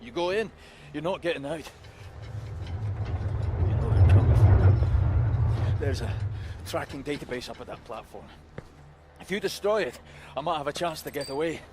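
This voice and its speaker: A man's voice: a little high, lively intonation, moderate pace, background noise, natural voice. An actor playing a jittery, cynical male informant from the slums in a video game, edgy and streetwise. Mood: wary, pleading. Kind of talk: naturalistic game dialogue.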